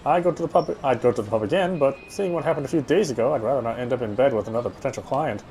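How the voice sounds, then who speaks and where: A man's voice: mid-range voice, rapid-fire, darkish tone, a little hiss or hum, rough recording. A man reading visual novel narration aloud, sometimes stumbling and going back over phrases. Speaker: game streamer reading aloud.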